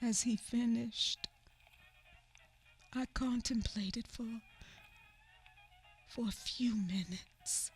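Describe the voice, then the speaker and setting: A woman's voice: on the high side, drawn-out words, pauses often, slightly rough, faint music behind. A woman narrating a family memoir in a radio play, somber and often sinking to a whisper. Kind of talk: dramatic radio theatre narration.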